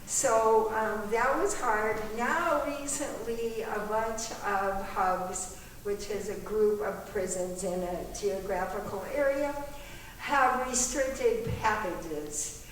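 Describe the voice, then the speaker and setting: Woman panelist, unhurried delivery, candid, reflective, distant mic, strong hall reverb. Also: medium pitch. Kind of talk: informal panel remarks. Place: echoey meetinghouse hall. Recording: echoey hall, distant mic, faint noise.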